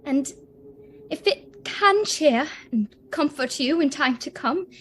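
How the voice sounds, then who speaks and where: An actress playing a sorrowful but resolute young fiancée in a radio play, measured and pausing between phrases. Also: female voice, high-pitched, expressive. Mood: hurt, resigned. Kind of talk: theatrical radio play acting. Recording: noisy ambience behind the voice.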